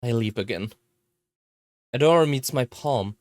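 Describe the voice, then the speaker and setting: A man reading a visual novel aloud in a halting, slightly theatrical way. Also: mid-range voice, expressive, darkish tone, no echo. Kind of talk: expressive read-aloud narration of a visual novel.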